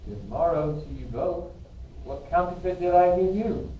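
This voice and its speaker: A man's voice: on the high side, lively intonation, normal speed, natural voice. A man playing a young, earnest, lovestruck nobleman in an amateur Shakespeare reading. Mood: polite. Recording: very echoey, distant, dull, faint noise.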